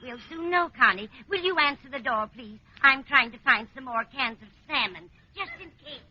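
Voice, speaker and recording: A woman's voice: sits high, lively intonation, moderate pace. Kindly, lilting radio landlady. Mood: reassuring. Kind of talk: 1950s American radio sitcom acting. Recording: muffled, noisy old radio recording.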